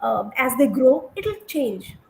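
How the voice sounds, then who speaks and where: A woman's voice: high voice, very animated, slow, vocal fry, darkish tone, slight background noise. A woman, a teacher speaking as a guest in a live online interview, earnest and advising, sometimes acting out classroom talk. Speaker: education speaker, English teacher.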